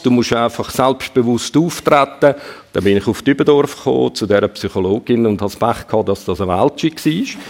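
Swiss German man, guest storyteller, halting delivery, ordinary mid pitch. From Switzerland. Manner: hesitant, many fillers. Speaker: former military pilot, guest. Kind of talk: casual storytelling.

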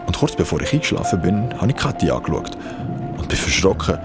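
A man's voice: low voice, big pitch swings, fast. An actor playing a shaken young man with Swiss German speech, confiding his mountain ordeal in slangy radio play narration. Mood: confiding, uneasy. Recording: loud music behind the voice. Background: music.

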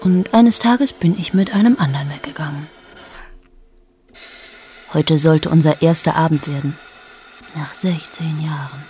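An actress playing a cool, self-absorbed journalist in her late thirties in an intimate radio play monologue, speaking in a hushed, deliberate way that often drops towards a whisper. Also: low-pitched. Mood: wry, confiding. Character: 38-year-old female journalist, cool, amoral, confessional and self-absorbed.

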